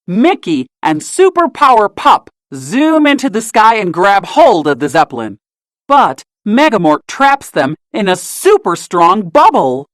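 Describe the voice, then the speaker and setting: A woman narrates a children's storybook with lively, swooping intonation. She takes her time over each phrase, telling it expressively for young listeners. The recording is close and dry. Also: mid-range voice, a little slow, no echo. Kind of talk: scripted children's read-aloud narration.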